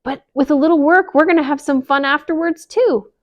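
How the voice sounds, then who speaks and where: A woman's voice: high voice, fairly quick, pitched above the performer's own voice, natural voice. A woman voicing a cheerful, hardworking mother hen who encourages others to help. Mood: encouraging. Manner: upbeat, encouraging.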